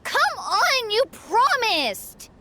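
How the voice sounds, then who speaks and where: Eager little boy. Very high, bright, lively childlike voice for game dialogue, innocent and trusting. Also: extremely slow, a little hiss or hum, heavily acted. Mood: impatient. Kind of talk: video game voice acting.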